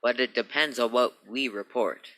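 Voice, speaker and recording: A man's voice: mid-range voice, normal speed, very noisy, close to an everyday voice. A man playing a commanding middle-aged Navy rocket captain with strong feeling in a radio play. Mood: happy.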